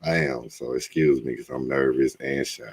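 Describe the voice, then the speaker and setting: A man joking around as a guest on his wife's podcast, talking loudly and laughing easily. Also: rumbling low, fairly even intonation, normal speed, husky. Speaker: guest, host's husband. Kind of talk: casual chat.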